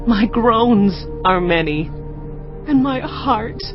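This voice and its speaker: A woman playing a grieving, desolate fallen city in a dramatized Bible reading, lamenting with slow, solemn sorrow. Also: a little high, lively intonation. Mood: faint, despairing. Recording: muffled, loud music behind.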